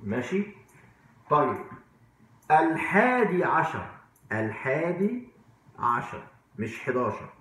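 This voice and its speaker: A man's voice: fairly high pitch, fairly bright tone. A man in his forties with an Egyptian accent giving an informal language lesson, repeating words and asking check questions in a conversational way. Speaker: English teacher. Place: indoor room at a whiteboard. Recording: very echoey room.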